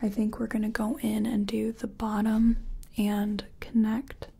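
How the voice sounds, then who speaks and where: A woman whispering softly and gently in a breathy voice, reassuring the listener in a close-up ASMR personal attention roleplay as a makeup artist. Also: mid-range voice, monotone, unhurried. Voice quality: soft, breathy, mostly whispered. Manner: gentle, reassuring, murmured repetitions.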